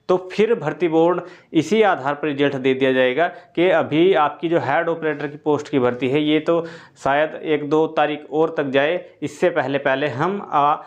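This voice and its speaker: A man hosting an exam-update video, explaining in a casual spoken way straight to his viewers and repeating points and dates again and again. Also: fairly high pitch. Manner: repetitive, keeps restating points and dates; addresses listeners directly throughout.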